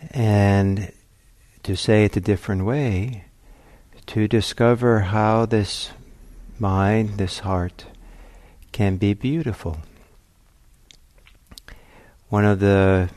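A man leads a guided meditation in a fairly low voice. He speaks very slowly, with long pauses and a gentle, searching, reflective manner. The sound is dry, with hardly any room to it. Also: no room sound. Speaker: meditation teacher.

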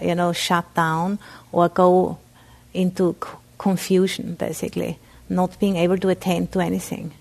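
A woman from Austria with an Austrian German accent, a Buddhist nun giving a dharma talk at a meditation center, speaking haltingly with many fillers and restarts. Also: fairly low pitch, vocal fry, darkish tone.

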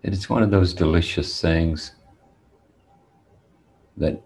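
Male meditation teacher, low gravelly voice, deliberate phrasing with long pauses. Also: a little mellow. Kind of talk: guided meditation talk.

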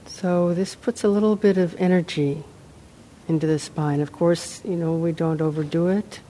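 Woman leading a guided meditation, lowish dark relaxed voice, lots of long pauses, slight background rumble. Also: rough recording. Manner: unhurried, with long pauses between short phrases. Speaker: meditation teacher. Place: meditation center, live talk.

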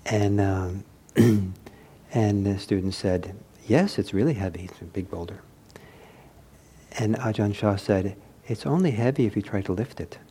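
A man giving an informal meditation talk, reflective and hesitant as he searches for words, with a soft, husky voice. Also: lowish voice, unhurried, long pauses, a little hiss or hum. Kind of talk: informal spoken teaching with anecdotes.

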